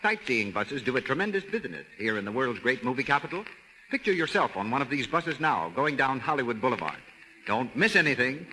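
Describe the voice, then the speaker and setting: Male American announcer, lively intonation, forceful bright tone, vintage radio advertising with light hiss. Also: medium pitch, fairly quick. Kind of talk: 1930s scripted advertising announcement. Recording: faintly noisy 1930s radio recording.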